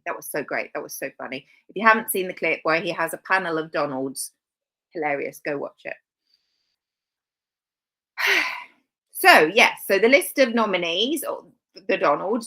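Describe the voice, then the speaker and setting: A woman's voice: mid-range voice, sharp tone. Woman, live-stream host, casual chat, dry sarcasm, rambling self-corrections, mid-range voice.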